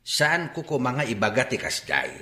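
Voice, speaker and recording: Quarrelsome, irritable man, tense and animated, radio drama, roomy sound. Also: medium pitch, moderate pace. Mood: annoyed, defensive. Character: irritable, quarrelsome man griping about gossips.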